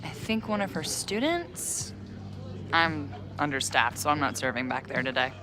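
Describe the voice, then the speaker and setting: Game role: chatty young woman, teasing and easygoing, very varied intonation, music clearly behind her. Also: low voice, expressive, normal speed. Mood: friendly. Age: young adult. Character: teasing, easygoing young female bar worker who books bands. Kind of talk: video game voice acting, casual chat.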